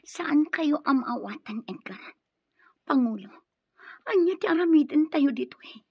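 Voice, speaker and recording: Distressed young mother, high creaky voice. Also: lively intonation, unhurried, dry recording, dull audio. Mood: distraught. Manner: pleading, distressed. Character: tearful young mother pleading after childbirth. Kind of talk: radio drama acting in Ilocano.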